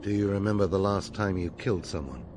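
An actor playing a grave, battle-hardened military commander in a video game, speaking in a measured, serious way. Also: male voice, deep voice, noisy background, lightly acted. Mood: somber. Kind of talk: dramatic video game voice acting.

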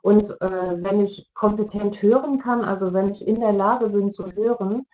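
A woman's voice: medium pitch, fairly even intonation, normal speed, a little hiss or hum. A middle-aged woman explaining as an invited expert over a video call. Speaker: media coach, invited expert. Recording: dull, muffled video-call sound.

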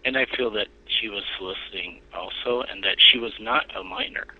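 A man talking haltingly and defensively on a lo-fi phone call. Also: lowish voice, big pitch swings, gravelly. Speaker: prank call target. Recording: dull, lo-fi phone line.

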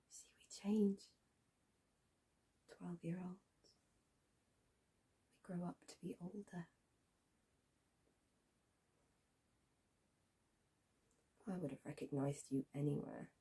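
Woman acting a wounded young woman, breathy and high. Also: full character voice, low-quality recording. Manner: halting, repeats phrases, swings between hushed and intense. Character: wounded, bitter young woman confronting her past abuser. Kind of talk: dramatic stage monologue, naturalistic acting.

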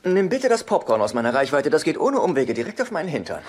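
A man's voice: fairly high pitch, very animated, fast talker, noisy background. An actor playing a fussy, needy, effeminate man in a dubbed sitcom, prissy and earnest. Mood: chirpy, earnest. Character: fussy, needy, effeminate brother, eager to please.

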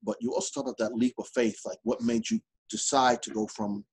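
A man's voice: medium pitch, very animated, a little fast, creaky, slightly rough. A man from New York City hosting a business podcast over a video call, upbeat and chatty. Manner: upbeat, laughs often, chatty. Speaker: podcast host. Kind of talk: casual interview. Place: indoor office.

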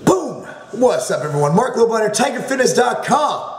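Brash, high-voiced man in an echoey room. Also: very animated, moderate pace. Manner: profane, brash, emphatic, tough-love. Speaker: fitness channel host. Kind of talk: blunt advice vlog answering a viewer question. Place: small home office, indoors.

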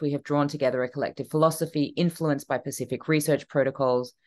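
A speaker reading a prepared talk over a video call, in a measured, even delivery. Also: medium pitch. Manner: measured, reads from script. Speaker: keynote speaker. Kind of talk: read talk.

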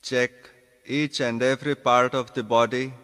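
A man giving guided meditation instructions as a yoga nidra teacher, speaking deliberately in short phrases with pauses. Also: medium pitch, level tone, a bit bright, clear, resonant tone. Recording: long reverberant hall echo.

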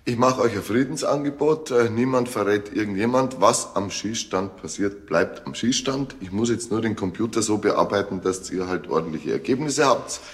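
An actor playing a cheeky, wheeler-dealer mechanic in a radio play, talking casually. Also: male voice, lowish voice, moderate pace, hardly any pauses, lightly acted. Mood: bargaining.

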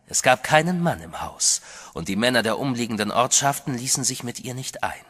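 A cold vampire master. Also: male voice, mid-range voice, very animated, fairly bright tone, breathy edge. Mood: cryptic. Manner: cold, measured, whispering threats. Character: sinister male vampire master, calm and menacing. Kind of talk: German radio horror drama.

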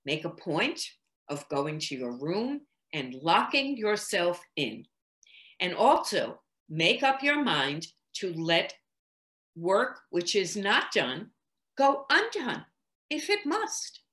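A woman's voice: fairly low pitch, very animated, slow pace, fairly bright tone, full character voice. A woman voicing an earnest, authoritative husband in a period story, formal, emphatic and persuasive.

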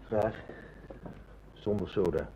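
Very low-voiced formal police inspector. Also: male voice, mellow. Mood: grave, formal. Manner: formal, measured. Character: polite, firm, determined male police inspector. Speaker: actor in a radio play. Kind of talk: Dutch radio drama acting. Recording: dull, noisy old radio recording.

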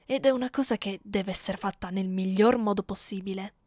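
A woman voicing a haughty, cruel, centuries-old vampire mistress in an ASMR roleplay, imperious and taunting in a measured way. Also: mid-range voice, darkish tone, dry recording, studio quality, natural voice. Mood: haughty, bored.

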